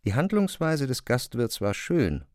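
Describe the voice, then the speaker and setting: A polite male newcomer in a literary radio play, formal and deliberate. He speaks very slowly with animated intonation, in a dry studio sound. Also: medium pitch, no echo. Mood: composed. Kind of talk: literary narration and dialogue reading.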